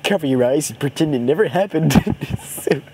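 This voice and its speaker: A young man improvises a silly story for friends in a put-on cartoon parody voice, halting and often breaking into giggles. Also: on the high side, animated, darkish tone. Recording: noisy amateur home recording.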